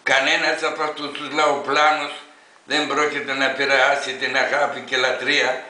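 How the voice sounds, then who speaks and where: Elderly man, very sharp, forceful voice. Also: medium pitch, flat delivery, roomy sound, rough recording. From Greece. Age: 80s. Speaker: grandfather reading his protest letter. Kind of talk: read-aloud open letter, oratory.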